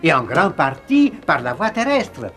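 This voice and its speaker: Excitable, wildly swooping cartoon explorer. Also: mid-range voice, a little slow, faint music behind. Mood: excited, scheming. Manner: theatrical, swooping from muttering to exclaiming. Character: excitable, scatterbrained, self-declared genius male explorer. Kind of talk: animated cartoon dub.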